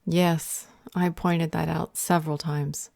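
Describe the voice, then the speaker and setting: A woman playing a stern, protective vampire healer in an audio roleplay, speaking in a measured, firm and earnest way. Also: low-pitched, little pitch movement, vocal fry, a little mellow, dry recording, studio quality, exaggerated voice. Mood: firm, guarded.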